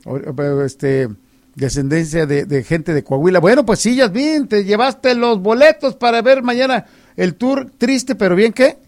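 High-voiced playful radio host, male. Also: a little slow, darkish tone. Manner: playful, teasing, repeats phrases. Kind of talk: casual live radio giveaway chat with callers.

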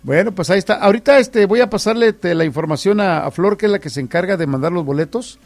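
A man's voice: sits high, fast, creaky. A man hosting live radio, chatting casually and teasing callers, often repeating his phrases. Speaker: radio host.

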